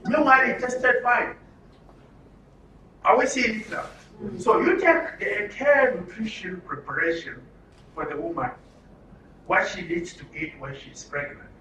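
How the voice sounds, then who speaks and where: A man's voice: high voice, a little slow, long pauses, bright, forceful tone. A man with a Nigerian accent facilitating a live workshop, speaking instructively with rhetorical questions and repetition. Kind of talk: live workshop talk to a group. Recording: roomy, distant mic with some noise.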